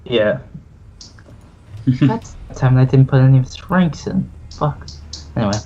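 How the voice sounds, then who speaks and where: A man's voice: mid-range voice, expressive, normal speed, mellow. A man casually narrating a tabletop game as game master. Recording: muffled, noisy home recording.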